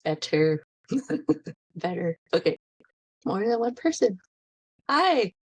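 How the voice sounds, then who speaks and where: Breathy giggly woman, lively pitch. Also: takes it slowly, no room sound. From United States. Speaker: interviewer. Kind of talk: casual video call interview. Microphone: video call.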